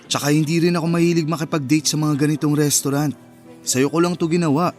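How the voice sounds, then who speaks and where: An actor playing an earnest, romantic young boyfriend in a Filipino radio romance drama, talking in a sincere, conversational way. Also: male voice, fairly high pitch, steady tone, quick pace, darkish tone, soft background music, close to an everyday voice. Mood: casual, sincere.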